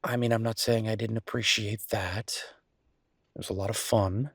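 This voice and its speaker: A man acting as a chef boyfriend speaks in a low, husky voice, recorded close and dry. He chats casually and intimately, stopping and restarting as he goes. He is affectionate and teasing, with a grumbling streak, in the style of an audio roleplay. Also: steady tone, dry recording. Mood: tender, reassuring. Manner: casual, teasing, halting conversational delivery.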